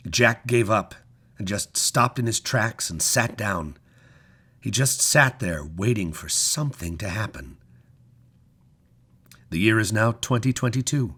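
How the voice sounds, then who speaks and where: Deep-voiced man reading a story aloud. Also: husky. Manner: measured, expressive storytelling with deliberate pauses. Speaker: story reader. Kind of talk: scripted dramatic story narration.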